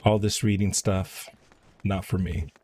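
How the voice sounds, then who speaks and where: A man's voice: deep voice, flat delivery, unhurried, a little mellow, a little hiss or hum. A man chatting casually as he live streams a video game. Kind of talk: casual chat while playing.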